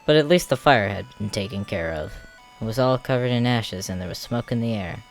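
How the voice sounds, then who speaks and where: A man's voice: fairly low pitch, lively intonation, a little fast. A man narrating a comic dub in a dramatic scripted storytelling style. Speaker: narrator and voice actor. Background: music.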